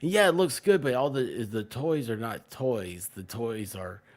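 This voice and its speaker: A man's voice: medium pitch, lively intonation, unhurried. A man chatting casually on a livestream, rambling along and often trailing off mid-sentence. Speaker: online content creator and streamer.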